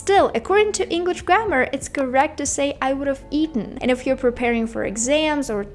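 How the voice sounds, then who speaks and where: Female English teacher and video host, Russian accent, high voice, chatty and emphatic explanatory delivery. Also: fast, soft background music. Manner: chatty, emphatic, addresses viewers directly. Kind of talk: casual explanatory talk to camera.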